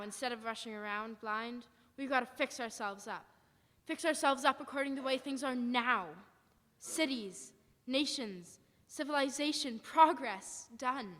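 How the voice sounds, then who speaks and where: A woman's voice: on the high side, sharp tone. A woman playing a defiant, wary militia survivor with blunt, folksy, emphatic speech in a live radio drama. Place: church hall stage, live performance. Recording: echoey hall, live performance.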